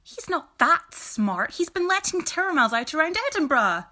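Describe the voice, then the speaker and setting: A woman playing a brisk, bossy, sardonic military ringleader in an audio drama. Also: high voice, big pitch swings, sharp tone, full character voice.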